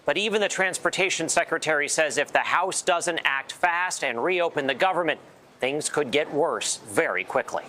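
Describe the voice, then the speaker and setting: An American man reports live in a bright, forceful, fairly high voice. He speaks as a news correspondent, with faint airport noise behind him. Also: lively intonation, normal speed. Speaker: transportation correspondent.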